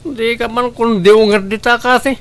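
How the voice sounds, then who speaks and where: A man's voice: extremely high pitch, steady tone, moderate pace. A man with an Ilocano accent playing a hot-tempered, quarrelsome gambling husband in a family drama. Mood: angry, scornful. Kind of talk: Ilocano radio-style family drama acting.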